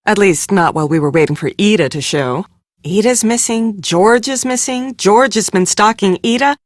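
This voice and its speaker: An actress playing a brisk, sharp-tongued, exasperated woman on a film crew in an adventure game, speaking with sarcastic emphasis. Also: medium pitch, natural voice. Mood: suspicious. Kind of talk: scripted game character dialogue.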